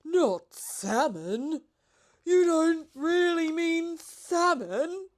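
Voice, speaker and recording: A woman voicing a timid, polite and excitable male pelican in a storybook, with drawn-out phrasing. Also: extremely high pitch, pitched above the performer's own voice, full character voice. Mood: astonished. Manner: hesitant, courteous, drawn-out.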